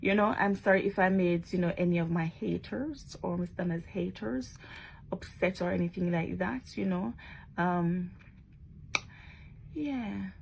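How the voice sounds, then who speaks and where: A woman's voice: mid-range voice, vocal fry, a little mellow, a little hiss or hum, low-quality recording. A woman talking casually to her followers in a vlog, chatty and emphatic, often tagging on questions. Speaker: social media blogger.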